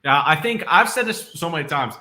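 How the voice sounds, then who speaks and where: A man co-hosting casual sports talk, animated and rising in pitch as he makes his points. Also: a little fast. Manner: animated, goes up in pitch when making a point. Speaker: sports podcast co-host.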